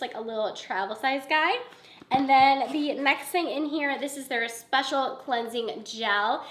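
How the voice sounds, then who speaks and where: Woman, high voice, raspy and stuffy from a cold, chatty and gushing, echoey room. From Michigan. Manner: chatty and excitable, gushing over products. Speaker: beauty YouTuber. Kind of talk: casual unboxing vlog.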